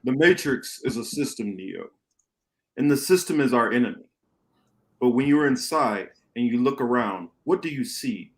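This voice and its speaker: A man acting a grave, commanding rebel mentor in an amateur radio play, with deliberate, emphatic phrasing and dramatic pauses. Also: medium pitch, lively intonation, lightly acted. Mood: grave, instructive. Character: grave, commanding male rebel mentor lecturing his pupil. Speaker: podcast host reading a radio play.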